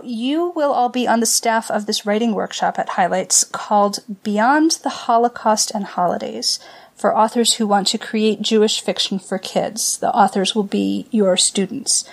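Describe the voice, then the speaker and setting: A woman hosting a podcast panel, introducing the topic and posing questions in a measured way. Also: medium pitch, gravelly. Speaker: podcast host.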